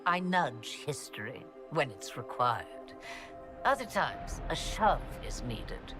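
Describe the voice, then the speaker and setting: A woman's voice: extremely low pitch, big pitch swings, heavily acted. An actress playing an ancient, regal witch of legend in a video game, speaking in a cryptic, wry, theatrical manner. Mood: wry, knowing. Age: older. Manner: theatrical, grandly cryptic, deliberate phrasing. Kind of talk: dramatic video game acting. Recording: orchestral game music behind the voice.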